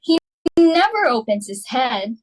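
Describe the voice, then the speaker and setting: A young woman reading the part of an excitable, fashionable society matron hosting a dinner party, fussy and emphatic. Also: sits high, full character voice, low-quality recording. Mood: fussing. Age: young adult. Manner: fussy, emphatic, quick to exclaim. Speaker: reader for Mrs. Pringle. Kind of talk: student dramatic reading.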